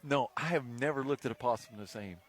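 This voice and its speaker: A man's voice: mid-range voice, fairly quick. A male radio sports broadcaster, telling stories casually on air and animatedly acting out what people said. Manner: animated storyteller, acts out quotes. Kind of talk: casual on-air storytelling and banter. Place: live high school football radio broadcast.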